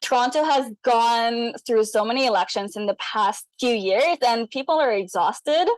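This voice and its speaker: A woman's voice: fairly high pitch, normal speed, no room sound, low-quality recording. A young woman from the Philippines, a guest in a casual interview, talking earnestly and upbeat with frequent laughs. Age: young adult.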